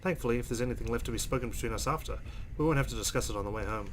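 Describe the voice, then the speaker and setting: A man with a mid-pitched voice reads a visual novel aloud as a let's play host. His delivery is expressive storytelling mixed with asides, recorded with faint noise and a low rumble. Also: very fast.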